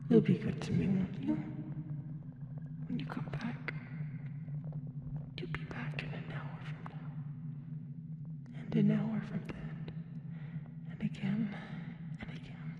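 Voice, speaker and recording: A woman's voice: medium pitch, natural voice. A woman playing a giant, sedated female alien in an audio roleplay, hungry and sly, speaking in a drowsy, teasing near-whisper with seductive menace. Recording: echoey reverberant tank with loud noise. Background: loud ambient noise.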